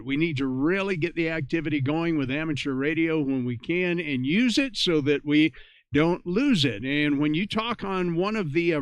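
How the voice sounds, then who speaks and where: A man's voice: a little high, clear, resonant tone, dry recording. A man hosting an amateur radio net, reading out announcements and procedural reminders in an informal, conversational way.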